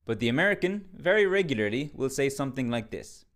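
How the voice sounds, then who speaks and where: A man's voice: mid-range voice, very animated, fast talker, fairly bright tone. A man giving a short English lesson, emphatic and mock-indignant in a lecturing way. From Ireland. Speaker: English teacher. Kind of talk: direct-to-camera short lesson.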